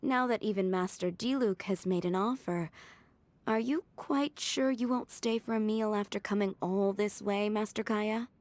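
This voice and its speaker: A woman performs a doting head maid from a video game. Her mid-pitched voice is motherly and polite. She coaxes and gently teases as she speaks. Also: normal speed, close to an everyday voice. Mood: teasing. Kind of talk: video game character voice acting.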